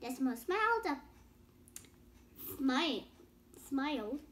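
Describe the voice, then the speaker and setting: A woman's voice: sits high, big pitch swings, slow, a bit bright, a little hiss or hum. A young girl reading a bedtime story aloud with casual explanatory asides, stumbling over words and rereading phrases. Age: child.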